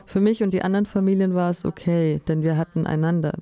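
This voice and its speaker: A woman's voice: on the low side, steady tone, fast, dark tone. A woman reading a scripted first-person voice-over translation.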